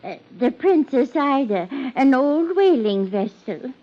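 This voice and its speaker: High, fluttery, slow-spoken nervous spinster. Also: female voice, very animated, exaggerated voice. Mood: flustered. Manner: hesitant, stammering, fretful. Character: fluttery, nervous, smitten small-town spinster landlady. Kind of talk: 1950s radio drama acting. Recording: muffled vintage 1950s radio recording.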